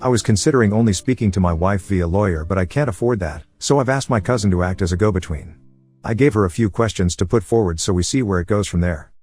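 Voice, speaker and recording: A man narrating a forum post in the first person as a scripted story, with a brisk, steady reading manner. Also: fairly low pitch, soft background music.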